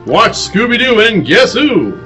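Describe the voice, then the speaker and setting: A man's voice: high-pitched, sing-song, measured pace, pushed and bright, faint music behind. A man on a podcast panel, making announcements and wry, casual asides. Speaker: podcast cast member and announcer.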